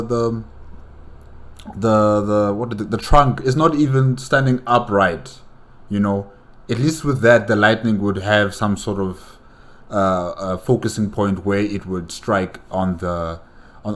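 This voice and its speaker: A man casually reacting to a story for his viewers, excitable, laughing often and tripping over his words. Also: lowish voice. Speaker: reaction video host.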